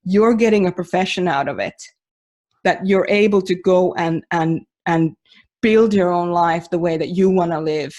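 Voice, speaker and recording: A woman's voice: fairly low pitch, normal speed, a little mellow. A woman from Finland, a mentor running a training course, talking casually and emphatically on a podcast with many restarts and fillers.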